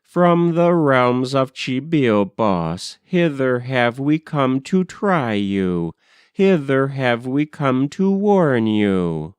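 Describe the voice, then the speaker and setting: A man voicing gentle, mournful ghosts of the dead in a grave, incantatory verse recitation. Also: medium pitch, big pitch swings, drawn-out words, smooth, dry recording. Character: gentle, mournful ghosts of the departed, solemnly counseling.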